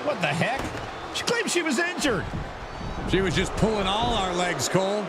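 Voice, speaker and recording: A man playing an excited TV wrestling commentator, calling the action live in a video game. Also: high voice, normal speed, very noisy. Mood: excited, urgent. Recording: loud music and arena thuds behind.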